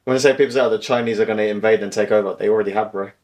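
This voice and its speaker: A man's voice: fairly low pitch, steady tone, rapid-fire, darkish tone. A young man from England, trading laid-back, slangy banter as a podcast co-host. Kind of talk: casual chat.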